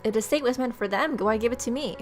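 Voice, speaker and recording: A woman gameplay host reading a visual novel aloud as expressive narration, with casual asides. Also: a little high, fast talker, faint music behind.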